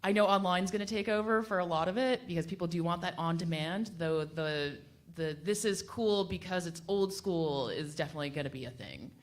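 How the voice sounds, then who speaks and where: A woman's voice: on the low side, brisk, hardly any pauses. An American woman radio broadcaster talking casually in a panel discussion.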